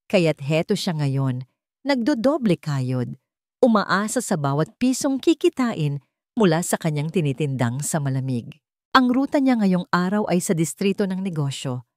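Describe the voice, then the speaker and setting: Woman, Filipino accent, lowish pitch, dramatic story narrator, close dry recording. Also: dry recording.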